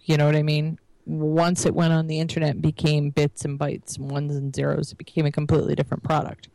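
A woman in her forties chatting casually, thinking out loud and explaining her views. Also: low voice, completely flat delivery, brisk, creaky, no echo, rough recording. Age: 40s. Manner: musing, explanatory. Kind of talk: casual chat.

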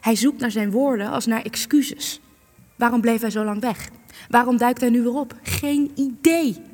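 An actress playing a sharp, cynical young female journalist in a radio play, biting and sarcastic. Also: a little high, normal speed, natural voice. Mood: suspicious, combative. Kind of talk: radio play acting in Dutch. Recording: long hall reverb, music behind.

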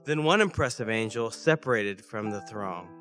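A man's voice: fairly low pitch, expressive, moderate pace, fairly bright tone, faint music behind. A man as a wonder-struck shepherd. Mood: amazed. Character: wondering shepherd telling of an angel.

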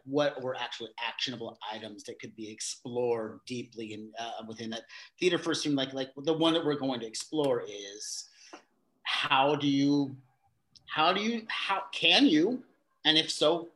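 A man with a voice of ordinary pitch, chatting as a guest on an interview podcast. He works his ideas out as he speaks, doubling back and restarting, and he livens up now and then. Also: rough recording. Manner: thinks aloud with many restarts and fillers, animated bursts. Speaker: guest, theater company facilitator. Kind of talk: casual conversational interview.

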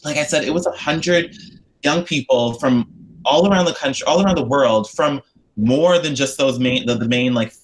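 A man's voice: medium pitch, normal speed, a little hiss or hum, poor audio. A young man from the United States, talking about his activist work in an interview, earnest and rambling with lots of fillers and restarts. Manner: earnest and expressive. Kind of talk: casual interview conversation.

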